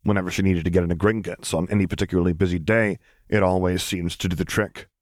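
Low-voiced man narrating a story. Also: steady tone, fast, a little mellow, no echo, studio quality. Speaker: audiobook narrator.

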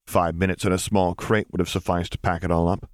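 Low-voiced man narrating fiction. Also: level tone, brisk, no room sound, studio quality. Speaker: audiobook narrator.

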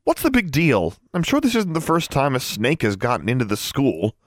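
A man's voice: medium pitch, wildly swooping pitch, normal speed, no room sound, clean studio sound, pitched above the performer's own voice. A man voicing a stubborn young schoolgirl witch in an audiobook, insistent, pleading and defiant.

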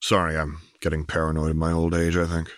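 A man's voice: extremely low pitch, monotone, a little fast, no room sound, studio quality. A man playing a weary, anguished man of about forty, earnest and defensive, in an audiobook story. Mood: guarded, weary.

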